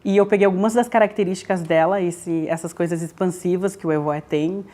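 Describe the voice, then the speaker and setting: A woman's voice: lowish voice, fairly even intonation, brisk, mellow. A young woman speaking informally in an interview as an actor. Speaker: actor in a student theatre production.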